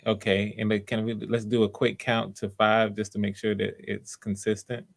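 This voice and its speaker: A man's voice: fairly low pitch, monotone, normal speed, vocal fry. A man hosting live auditions in a casual, encouraging and reassuring way. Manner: supportive, reassuring. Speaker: host running live auditions.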